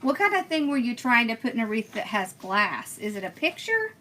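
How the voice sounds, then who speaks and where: Bright-voiced chatty woman crafting live. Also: on the high side, big pitch swings, brisk, a little hiss or hum. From born in Pennsylvania, raised in Georgia. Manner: chatty, answers viewer comments, laughs often. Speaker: host of a craft livestream. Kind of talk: casual live-stream chat while crafting.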